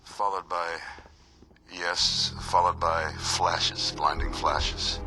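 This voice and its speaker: An actor playing a shaken male television newsreader giving a halting emergency broadcast in a video game. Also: low voice, fairly even intonation, bright, forceful tone, heavily acted. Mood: stunned, grave.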